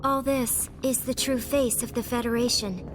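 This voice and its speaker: A woman playing a solemn, composed young resistance member in a video game, explaining in a measured way. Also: fairly high pitch, fairly even intonation, close to an everyday voice. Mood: grave. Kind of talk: animated video game voice acting. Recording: music behind the voice.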